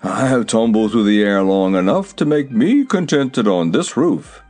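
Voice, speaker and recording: A man's voice: fairly low pitch, expressive, normal speed, a little mellow, soft background music. Man voicing a growling, grumpy old horse. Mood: scornful. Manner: growling, scornful, world-weary.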